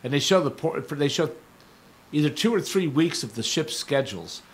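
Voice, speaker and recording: An older man hosting a community radio show from a studio, talking casually in a rambling, digressive way while his voice is going hoarse. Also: a little high, sing-song, normal speed. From Stockton, California. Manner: rambling, digressive, self-correcting. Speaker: radio host. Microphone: studio microphone.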